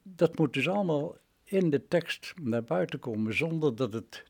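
A husky-voiced man close to a hundred years old talks in an interview as a veteran radio drama director. He speaks reflectively, feeling his way through sentences, and agrees with emphasis. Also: a little high, expressive, normal speed. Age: nineties, almost a hundred. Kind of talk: reminiscing interview conversation.

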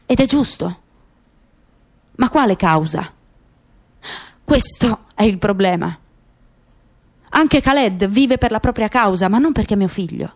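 A woman voicing a grieving, bitter middle-aged father in a radio play, speaking in measured, rhetorical phrases. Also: mid-range voice, expressive. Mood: calm, reproachful.

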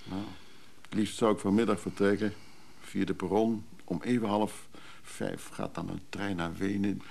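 Low, gravelly, weary caring man. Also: little pitch movement, normal speed, darkish tone. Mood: weary, overwhelmed. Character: weary, caring man, protective and somewhat overwhelmed. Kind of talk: radio drama acting, naturalistic dialogue.

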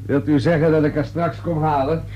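An actor playing a probing, patient police inspector in a radio play, with terse, dry questioning. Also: male voice, a little high, fairly even intonation, fairly quick, darkish tone, husky, muffled recording. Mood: wry.

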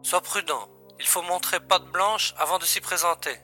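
A man's voice: high-pitched, moderate pace, pushed and bright, soft background music. An actor playing an agent's wry, familiar and matter-of-fact male colleague in a science-fiction audio drama.

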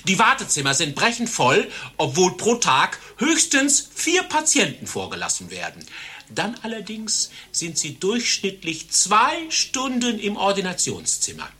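A pompous world traveller in a satirical radio play. His high voice swings widely up and down with a husky edge as he lectures, utterly sure of himself. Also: huge pitch swings, unhurried, pushed and bright, heavily acted. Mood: smug, lecturing. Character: self-important, well-travelled male patient, serenely absurd raconteur.

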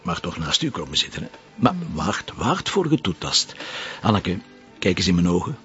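An actor playing a weary middle-aged Flemish police commissioner, gravelly and coaxing, in a TV drama. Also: male voice, sits low, sing-song, normal speed, a bit bright, soft background music. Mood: tender. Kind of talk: TV drama acting. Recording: dull lo-fi TV audio.

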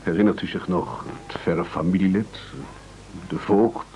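An actor playing a pompous, self-pitying castaway chief who wheedles and orates in a theatrical radio play. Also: male voice, low-pitched, measured pace, darkish tone, slight background noise, low-quality recording. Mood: mock sorrowful. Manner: bombastic, oratorical, self-pitying.

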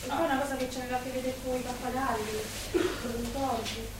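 Woman acting high-pitched housewife, naive, earnest, colloquial stage acting, distant noisy echoey recording. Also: steady tone, fast, lightly acted. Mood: earnest, confiding. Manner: plain-spoken, colloquial. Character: naive, earnest working-class wife in 1940s Italy. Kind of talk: live stage comedy-drama acting.

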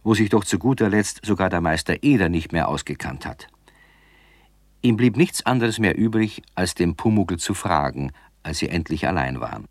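A man's voice: low-pitched, animated, normal speed, no room sound, clean studio sound. A man playing a friendly storyteller, narrating a children's radio play to young listeners. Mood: knowing, friendly.

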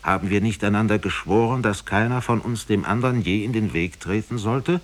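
A man's voice: fairly low pitch, a little fast, close to an everyday voice. A man voicing a wrathful, menacing demon in lion shape, accusing and declaiming, in a radio fairy tale.

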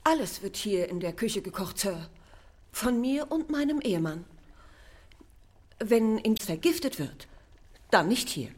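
A woman's voice: medium pitch, very animated, unhurried, long pauses. A woman playing a proud, defensive household cook in a Victorian radio crime drama, emphatic and self-righteous.

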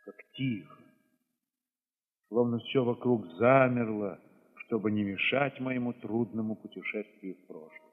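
A man with a husky voice reads a literary first-person story theatrically, moving between hushed passages and sudden swells. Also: lowish voice, a little slow. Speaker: narrator reading an audio play. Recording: reverberant, slightly dull sound.

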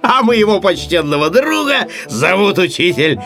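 A man playing a boisterous, greedy, mischievous pirate in a children's audio play, exclaiming and clowning with drawn-out words. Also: sits high, sing-song, gravelly, a bit bright, voice pushed up, exaggerated voice. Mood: clownish, teasing.

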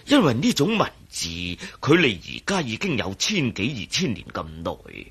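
An actor playing a hesitant, scholarly professor in a radio drama, speaking Hong Kong Cantonese in a halting, pondering way. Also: male voice, medium pitch, huge pitch swings, a bit bright, slightly rough, exaggerated voice. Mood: pondering, explanatory. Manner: halting, pondering, given to murmurs.

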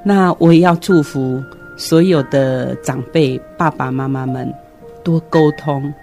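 A woman's voice: low-pitched, a little slow, warm tone. An older woman with a Taiwanese Mandarin accent, sharing personal thoughts and good wishes as a guest in an earnest, encouraging way. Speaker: interview guest, retired kindergarten principal and teacher. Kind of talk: personal sharing and well-wishing. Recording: music under the voice. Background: background music.

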